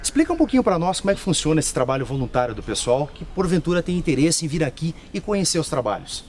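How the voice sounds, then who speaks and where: A man's voice: fairly high pitch, very animated, fast, a little mellow, a little hiss or hum. A Brazilian man reporting on location, interviewing staff and speaking directly to viewers in a promotional tone. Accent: Brazilian Portuguese. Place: outdoors and in a lab at a rural nursery.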